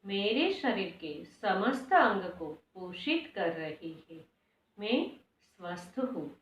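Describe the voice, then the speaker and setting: A woman leading a guided meditation, speaking prayers and affirmations slowly and deliberately in short, repeated phrases. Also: medium pitch, sing-song. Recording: echoey room, distant mic, dull sound.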